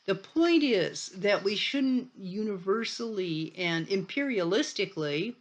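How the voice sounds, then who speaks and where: Irish woman lecturing, gravelly. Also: fairly low pitch. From Ireland. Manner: conversational, with frequent restarts and asides. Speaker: college lecturer. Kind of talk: recorded university lecture.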